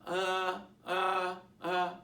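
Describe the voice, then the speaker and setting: A man's voice: sits high, monotone, extremely slow, creaky, sharp tone, far from the mic. A man with a Boston accent giving an emphatic motivational sales talk to realtors, repeating his points and asking the audience questions. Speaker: real estate sales trainer. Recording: roomy, from off the mic.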